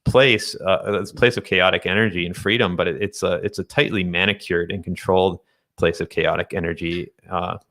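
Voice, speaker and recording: Very deep, bright-voiced man. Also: steady tone. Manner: halting, thinking aloud. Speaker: guest, day trader. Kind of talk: casual discussion of finance and tech.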